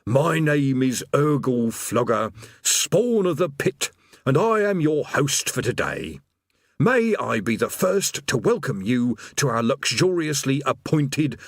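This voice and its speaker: A put-upon demon gatekeeper, acted by a man, grumbling and mournful in a raspy rumble. The pitch lifts and swoops widely, and the sound is dry and close, as in an audiobook. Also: sing-song, takes it slowly, no echo, heavily acted. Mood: stiffly reciting. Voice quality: rasping, rumbling. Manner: plodding recited customer-service patter breaking into chatty working-class grumbling.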